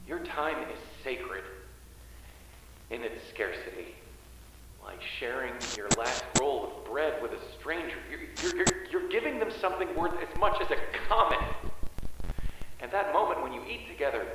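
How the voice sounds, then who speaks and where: A man's voice: fairly high pitch, fairly bright tone. A man playing an earnest, philosophical character in a theatrical drama, speaking in lyrical lines. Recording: very echoey room, distant mic, faint noise.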